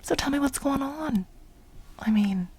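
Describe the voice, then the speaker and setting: A woman playing an obsessive, exasperated administrative assistant in a soft-spoken, whispery ASMR role play, insistent and repeating herself as she pleads with her boss. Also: high-pitched, lively intonation. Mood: urgent pleading. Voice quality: breathy, whispery. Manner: insistent, repetitive, halting for emphasis.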